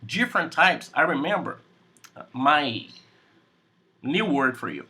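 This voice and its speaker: A Brazilian man with a Portuguese accent teaching English on a video call, speaking in slow, simple sentences and repeating words. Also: on the high side, very animated, bright, forceful tone, breathy edge. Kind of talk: online English class conversation.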